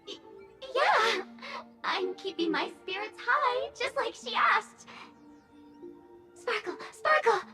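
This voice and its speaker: A woman's voice: extremely high pitch, expressive, slow pace, long pauses, heavily acted. A woman voicing a forlorn, unhinged living mask of a maiden in a video game, melodramatic and pleading. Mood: forced cheer. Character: cast-off theatrical maiden mask, forlorn, unhinged, desperately smiling. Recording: loud music under the voice. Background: music.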